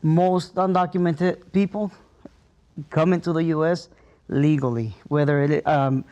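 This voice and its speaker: A man's voice: on the high side, steady tone, unhurried, a little mellow, highs cut off. A man from Mexico, a guest answering questions hesitantly, with many fillers.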